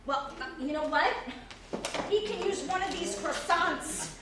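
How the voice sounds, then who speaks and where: A woman's voice: very high voice, lively intonation, a little slow. A woman reading the part of a bossy, sarcastic, meddling friend in a stage play. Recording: distant echoey stage recording with noise.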